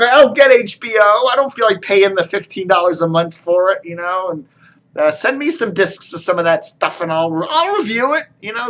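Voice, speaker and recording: A man's voice: sits high, a bit bright. An American man giving casual, rambling commentary as a video blogger, with a wry, mildly sarcastic manner.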